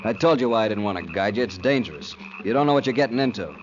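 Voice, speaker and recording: An actor playing a cynical, hard-bitten jungle guide with a dry, sardonic drawl in a 1940s radio drama. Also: male voice, on the low side, lively intonation, fast talker, background noise, lightly acted. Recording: muffled old radio recording, loud music.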